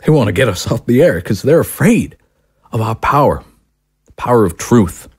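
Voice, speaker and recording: A man's voice: medium pitch, very animated, normal speed, a little mellow, slightly rough. A man playing a self-righteous, paranoid talk-show host husband in an audio drama, ranting and condescending. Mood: grandiose, paranoid. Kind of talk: dramatic audio screenplay acting.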